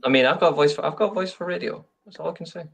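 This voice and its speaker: A man's voice: a little high, very fast, creaky, a bit bright, recorded from a distance. A man co-hosting a football watchalong, bantering and swearing in casual chat. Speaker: watchalong co-host.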